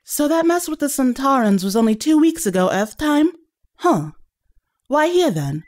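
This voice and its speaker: A woman's voice: high-pitched, sing-song, normal speed, darkish tone. A woman playing a wry, practical young companion in an audio drama, questioning and teasing. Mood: wry, surprised.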